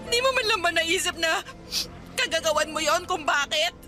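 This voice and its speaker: A woman's voice: extremely high pitch, lively intonation, normal speed, over music. A woman playing a hurt, angry young girlfriend with a Filipino accent in a radio drama, tearfully accusing and questioning her cheating boyfriend. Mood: wounded, crying. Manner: accusing, pleading with repeated questions.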